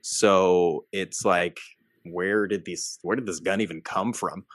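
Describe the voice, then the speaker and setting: A young adult man co-hosting a podcast, talking in an animated, emphatic way and often tagging points with "right?". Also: low-pitched, slow, no room sound, studio quality. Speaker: podcast co-host. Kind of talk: casual political discussion.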